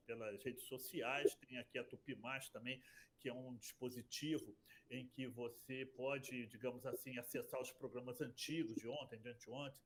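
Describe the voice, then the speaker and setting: A man who presents a radio show, answering interview questions in a relaxed way with some restarts. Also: medium pitch, slight background noise.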